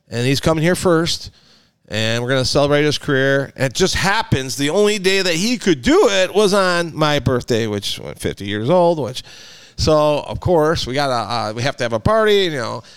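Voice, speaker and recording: A man's voice: fairly high pitch, clear, resonant tone, no echo. A man in his forties hosting a podcast, rambling in casual chat with fillers and restarts. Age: 40s. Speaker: podcast host.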